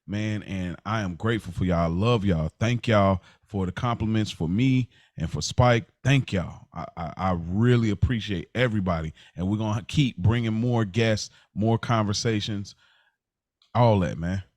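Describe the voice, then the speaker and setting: American male podcast co-host, lowish voice, dry close sound, emphatic and informal. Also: moderate pace, darkish tone, no room sound. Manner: emphatic, earnest, informal slangy speech. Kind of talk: casual podcast chat.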